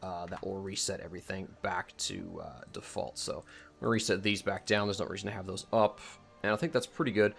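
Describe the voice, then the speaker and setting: A man's voice: sits low, steady tone, fairly quick, vocal fry, a bit bright, slightly rough, soft background music. A man giving a casual, unscripted video game tutorial, talking informally and rambling as he corrects and second-guesses himself. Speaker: video host and mod creator demonstrating a game mod.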